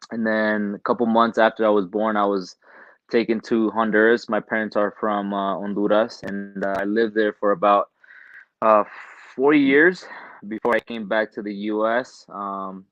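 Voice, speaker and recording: Young guest from southern california, low flat voice, hesitant, dry dull line, brief answers full of ums. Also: male voice, measured pace, no echo. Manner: relaxed, hesitant, many ums and restarts. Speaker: guest, professional soccer player. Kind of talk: casual interview answers.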